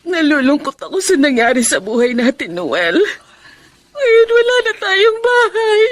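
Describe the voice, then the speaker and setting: Casting: plaintive, caring grandmother, high-pitched and very animated, for radio drama. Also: a little slow. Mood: sorrowful. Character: sorrowful, caring older mother and grandmother. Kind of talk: Filipino radio drama acting.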